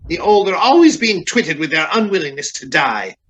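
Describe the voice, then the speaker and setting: Man acting a sharp, crotchety old gentleman. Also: a little high, big pitch swings, moderate pace, lo-fi sound. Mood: indignant, blustering. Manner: blustering, pompous. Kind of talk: radio drama acting.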